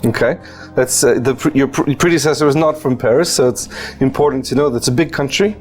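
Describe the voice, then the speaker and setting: Interview host, mid-pitched man, stumbling and restarting, faint music in the mix. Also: a little fast. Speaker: talk show host. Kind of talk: interview questions.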